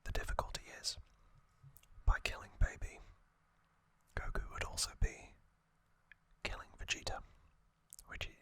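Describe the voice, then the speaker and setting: An ASMR host whispering a recap in a breathy, unvoiced whisper, in short halting phrases with pauses. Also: a bit bright. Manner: slow, halting, pausing between short phrases.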